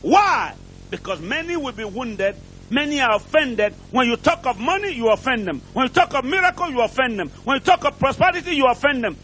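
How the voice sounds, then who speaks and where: A male preacher with a strikingly high-pitched voice delivers an impassioned sermon. He declaims in short, repeated phrases and puts rhetorical questions to his listeners. There is faint noise and rumble behind him. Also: big pitch swings, a little fast, fairly bright tone, poor audio. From outside England, preaches there as a visitor. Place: church pulpit, preaching to a congregation.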